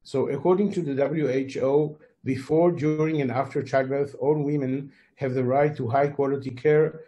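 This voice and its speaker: A man from Israel with an Israeli accent giving a formal medical lecture in a measured, hesitant way. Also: mid-range voice, steady tone, warm tone, rough recording. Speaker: fertility doctor, conference lecturer.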